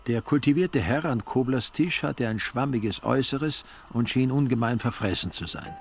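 A man's voice: mid-range voice, animated, moderate pace, creaky, a little hiss or hum. A man delivering scripted literary narration as a narrator in a radio drama. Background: faint music.

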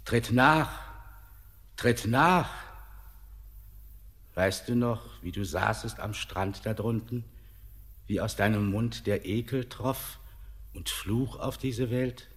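Old eerie tempter, slow and sardonic, lowish voice, long reverb, faint music. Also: male voice, fairly bright tone. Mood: mocking. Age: older. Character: ancient eerie male miner, mocking tempter. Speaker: old mountain spirit-miner Torbern. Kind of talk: classic radio play acting.

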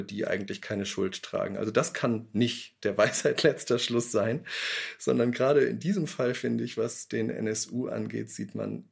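A man speaking thoughtfully in an interview, pausing to stress key words. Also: lowish voice, gravelly. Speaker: radio drama maker. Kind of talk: reflective interview talk.

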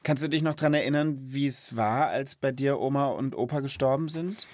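Man, medium pitch, casual children's host, thoughtful, empathetic, personal explaining, close dry recording. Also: normal speed, darkish tone, dry recording.

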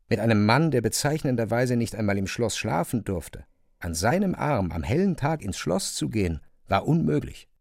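A man's voice: lowish voice, a little mellow, dry recording, clean studio sound. A man reading scripted literary narration as the narrator of a drama.

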